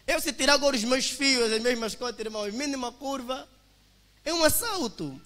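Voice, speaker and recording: A young man with an Angolan Portuguese accent speaks in a bright, ringing voice. He gives a forceful motivational testimony to a congregation, calling them brothers. His words carry a long echo from a church hall. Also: very high voice, moderate pace. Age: 20s. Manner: emphatic, preacher-like, addresses listeners as brothers. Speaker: young business owner giving a testimony.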